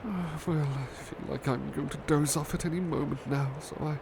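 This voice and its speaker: A man playing a gentle, bashful butler turned into a cuddly plush doll in an ASMR roleplay, speaking tenderly and politely with hesitant pauses. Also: on the high side, steady tone, creaky, noisy background. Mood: sleepy, affectionate. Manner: hesitant, polite, tender, halting with soft repetitions. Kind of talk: ASMR roleplay, soothing personal attention.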